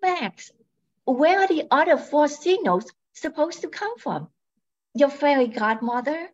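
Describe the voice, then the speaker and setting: A sensible, no-nonsense sister in an old-time radio play, acted by a woman. Her voice is very high and swoops with scolding, and the sound is a bit dull. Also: animated, measured pace. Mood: exasperated. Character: sensible, scolding young farm-raised twin sister. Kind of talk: amateur old-time radio comedy-mystery acting.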